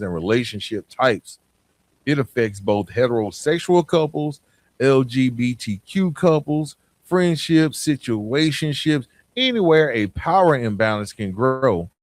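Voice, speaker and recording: A man's voice: lowish voice, dry recording. A man hosting a podcast, talking in a plainspoken, conversational motivational style and addressing listeners directly with rhetorical questions. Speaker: podcast host.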